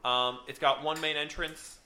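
A man's voice: fairly high pitch, steady tone, a little slow, pushed and bright. A man acting as game master, laughing easily while he sets out the scene for his players. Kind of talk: running a tabletop game.

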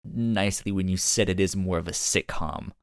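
A man's voice: sits low, normal speed, smooth, dry recording, studio quality. A man co-hosting a casual review podcast, who often stammers and repeats words as he starts a thought. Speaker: podcast co-host.

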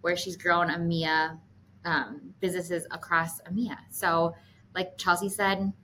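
A woman's voice: lowish voice, flat delivery, measured pace, sharp tone. A woman co-hosting a podcast, chatty and upbeat, with lots of fillers. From Minnesota. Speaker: podcast co-host. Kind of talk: casual podcast chat. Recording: faint background noise.

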